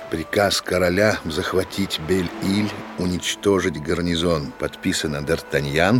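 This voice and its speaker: A low-pitched, courtly conspirator musketeer voiced by a male actor. He is earnest and dramatic in a radio play, with music clearly underneath. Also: natural voice. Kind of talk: Russian radio play acting.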